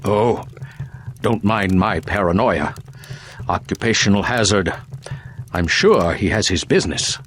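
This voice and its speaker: Casting: sickly paranoid conspirator for a video game, formal delivery, varied intonation, slight noise behind. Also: male voice, on the low side, lively intonation, unhurried, pauses often, fairly bright tone. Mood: wary. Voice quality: prone to coughing. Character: ailing, paranoid conspirator, a weary grown man.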